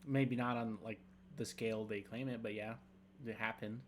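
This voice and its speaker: A man's voice: fairly low pitch, steady tone, a little hiss or hum. A young adult man co-hosting a podcast, chatting loosely with fillers and restarts, sometimes reading a script aloud. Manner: rambling, halting, many fillers. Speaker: podcast co-host.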